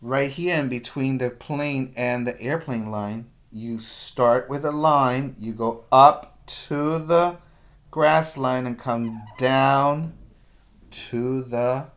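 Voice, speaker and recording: A man working as a preschool teacher, giving simple step-by-step instructions in a lesson for young children. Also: medium pitch. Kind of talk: read-aloud and handwriting lesson for young children.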